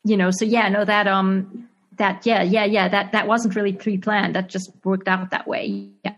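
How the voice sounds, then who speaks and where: A woman's voice: mid-range voice, level tone, moderate pace. A woman with a Zimbabwean accent, an actress and writer answering interview questions casually, with lots of fillers, restarts and laughter. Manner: hesitant, many fillers and restarts, laughs often. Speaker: actress and screenwriter being interviewed.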